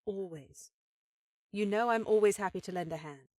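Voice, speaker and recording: A powerful elven sorceress acted by a woman in a fantasy audio drama, speaking as a kind, encouraging sister. Her delivery is earnest and affectionate, at an average pitch for a woman, recorded dry and close. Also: normal speed, darkish tone, no echo, natural voice. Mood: cheerful, helpful.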